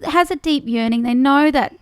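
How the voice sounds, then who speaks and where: A woman's voice: high-pitched, normal speed, no echo. A woman hosting a podcast, giving supportive murmurs of agreement in a casual interview. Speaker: podcast host.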